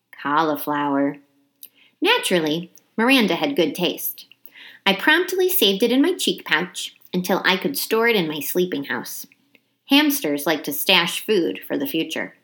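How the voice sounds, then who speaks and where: A woman reading a children's chapter book aloud as expressive storybook narration, with dramatic emphasis on key words. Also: on the low side.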